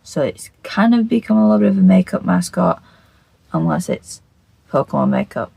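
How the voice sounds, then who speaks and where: A makeup video creator with a croaky, just-woken voice, chatting casually to camera in a scatterbrained, rambling, sweary way and repeating phrases. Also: medium pitch, sing-song, moderate pace, darkish tone, dry recording.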